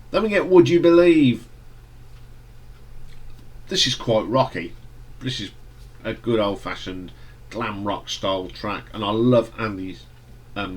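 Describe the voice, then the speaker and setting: Man, lowish voice, stuffy nose, casual album review, rambling delivery. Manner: relaxed, rambling with fillers and restarts. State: stuffy nose from hay fever. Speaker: music reviewer.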